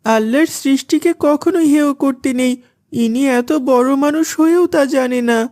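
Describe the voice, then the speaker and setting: A woman's voice: high voice, moderate pace, darkish tone, close to an everyday voice. A woman voicing a humble, pious young servant boy in a cartoon, meek and earnest. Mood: hurt, earnest. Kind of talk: cartoon voice acting.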